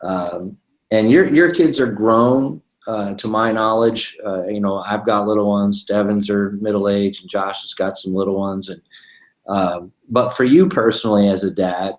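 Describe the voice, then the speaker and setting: Low-voiced podcast host in a roomy room. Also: male voice, measured pace. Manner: rambling, searching, many fillers. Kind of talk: casual interview question. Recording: roomy echo.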